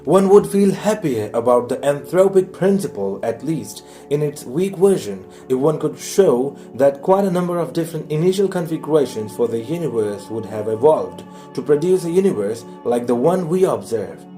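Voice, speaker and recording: A man reading a science audiobook aloud in a measured, explanatory way. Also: on the high side, mellow. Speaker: audiobook reader. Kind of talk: scripted narration, reading a science book aloud.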